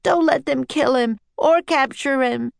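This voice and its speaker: Woman as an impassioned, high-pitched young girl crying out. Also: steady tone, unhurried, creaky, no room sound, full character voice. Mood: desperate pleading. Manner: crying out urgently. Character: impassioned, pleading, tender-hearted young girl.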